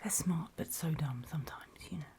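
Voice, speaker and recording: A woman playing a wary, battle-worn survivor of a zombie apocalypse, speaking in a breathy, deliberate whisper in an ASMR horror roleplay. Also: sits low, a little hiss or hum. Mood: wary, instructive. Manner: hushed, deliberate, halting storytelling with pauses.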